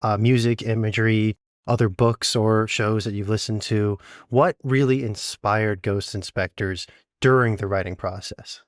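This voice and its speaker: A man's voice: lowish voice, fairly even intonation, measured pace, no echo. Dry-recorded man, casual interviewer. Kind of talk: casual conversational interview questions.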